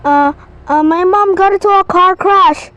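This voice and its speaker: A woman playing a panicked emergency caller in an amateur skit, halting and repeating words. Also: very high-pitched, fairly bright tone, dry recording, dull audio.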